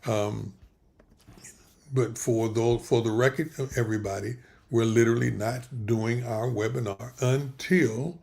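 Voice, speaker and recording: Gravelly-voiced pastor rambling on a livestream. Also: male voice, mid-range voice, a little mellow. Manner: rambling, halting, many restarts. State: losing his voice. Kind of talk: casual livestream talk with prayer.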